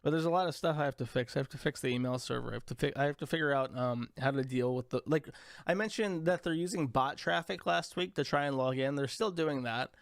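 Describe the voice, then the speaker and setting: A man's voice: mid-range voice, quick pace, creaky, no echo, studio quality. A young man hosting a casual livestream, rambling, crude and sarcastic as he talks to chat. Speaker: livestream host.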